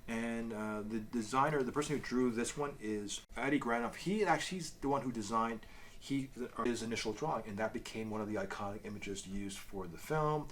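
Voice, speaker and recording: A man's voice: mid-range voice, fairly quick, a little hiss or hum. A man co-hosting a casual review chat, explaining things in a loosely worded way with asides. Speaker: co-host of a comic review show.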